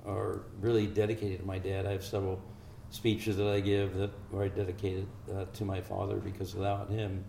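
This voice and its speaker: An older man from Hungary, a physician, giving measured, explanatory answers in an interview. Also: low-pitched, monotone, gravelly, dark tone, a little hiss or hum. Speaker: physician guest. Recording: echoey room with faint ambience.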